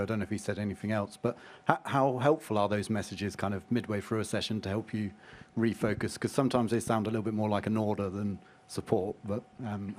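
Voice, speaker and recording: A man, a journalist, asking a question at a press conference in a brisk, casual way. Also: on the low side, darkish tone.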